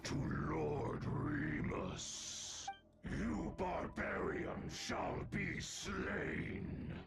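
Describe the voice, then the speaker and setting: A solemn ancient guardian from a video game. He speaks extremely slowly in a heavily gravelly, menacing voice, with loud music behind him. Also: fairly high pitch, exaggerated voice.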